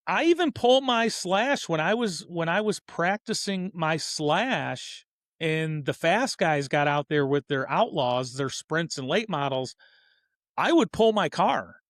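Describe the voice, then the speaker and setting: A man in his fifties with a slightly high voice, recorded dry and close. He hosts a casual hobby racing show and speaks his opinions earnestly, wandering and correcting himself along the way. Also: expressive, a little slow, a bit bright, no room sound. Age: 50s. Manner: earnest, rambling, self-correcting.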